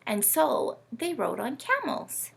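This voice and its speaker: Woman, children's read-aloud host. Very lively pitch, heavy creak, teacherly and question-led. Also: takes it slowly. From Canada. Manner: animated, teacherly, asks listeners questions. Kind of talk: children's storytime presenting.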